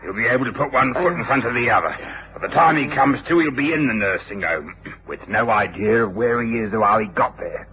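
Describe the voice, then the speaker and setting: A man's voice: medium pitch, brisk, bright, forceful tone, lightly acted. An actor playing a cool British criminal henchman in a radio drama, giving orders in a hard, matter-of-fact way. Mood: urgent, bossy. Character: cool, bossy criminal henchman taking charge. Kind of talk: old radio drama acting. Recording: dull, hissy old radio recording.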